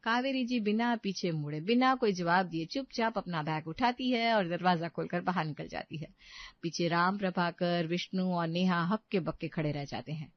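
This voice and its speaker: A woman's voice: lowish voice, normal speed, dry recording, muffled recording. A woman narrating a scripted domestic story as a storyteller.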